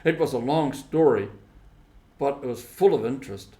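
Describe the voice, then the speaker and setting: A man reading a storybook as scripted narration, in deliberate, separated phrases. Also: mid-range voice, warm tone. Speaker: audiobook reader. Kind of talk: scripted storybook narration, simplified classic novel.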